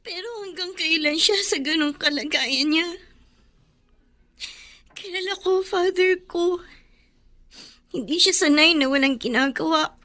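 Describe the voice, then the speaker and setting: An actress playing a teenage girl worried for her injured father, talking softly and tearfully. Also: high-pitched, a little slow, pauses often. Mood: sad. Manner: soft, tearful, downcast.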